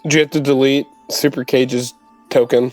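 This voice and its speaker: A man's voice: mid-range voice, little pitch movement, moderate pace, a little mellow, soft background music. A man playing a tabletop roleplaying game, talking casually in a laid-back, slangy, informal way.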